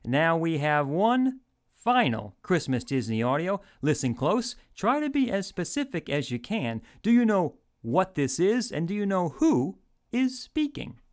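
A man hosts in old-time radio game show style. His slightly high voice moves up and down a lot as he presents to the listeners like a showman. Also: sing-song, unhurried. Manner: showman-like announcing, addresses listeners directly.

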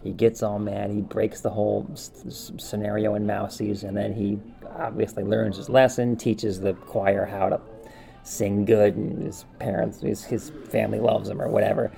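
A man's voice: lowish voice, little pitch movement, normal speed, creaky, mellow. A man co-hosting a podcast in casual, enthusiastic chat, often repeating his phrases. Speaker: podcast co-host.

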